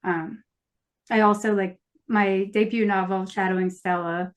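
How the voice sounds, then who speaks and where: A woman's voice: medium pitch, fairly even intonation, a little slow. An American woman, a novelist, talking casually as a guest on a podcast. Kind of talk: casual interview chat.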